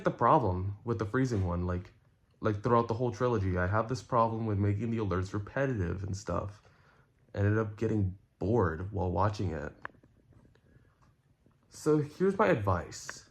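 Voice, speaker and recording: A man speaks in a fairly low voice, addressing his online viewers directly. His delivery is casual and earnest, like an unscripted explanatory rant. He moves haltingly through his thoughts and often repeats a phrase to drive it home. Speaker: content creator and streamer.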